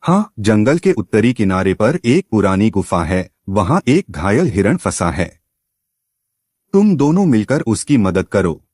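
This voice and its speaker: A man's voice: lowish voice, sing-song, a little slow, darkish tone, no room sound, studio quality. A man playing a stately, just lion king in a cartoon moral story, solemn and full of authority.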